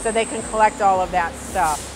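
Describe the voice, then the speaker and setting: A woman's voice: mid-range voice, moderate pace, noisy background. A middle-aged woman, a company president, talking casually in an interview with lots of fillers and restarts, on a lapel mic. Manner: conversational, many fillers and restarts. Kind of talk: shop tour interview. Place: studio set, then machine shop floor. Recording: music bed under the voice.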